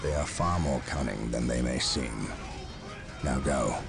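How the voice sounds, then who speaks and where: An actor playing a stern space marine commander in a video game cutscene, calm and authoritative. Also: male voice, extremely low pitch, a little slow, gravelly, heavily acted. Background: music.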